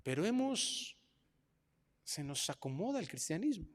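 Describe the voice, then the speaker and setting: A middle-aged man with a Mexican accent preaching a sermon as pastor, animated, moving from quiet asides to loud mocking voices. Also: high voice, unhurried. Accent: Mexican Spanish. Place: church hall. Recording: long hall reverb.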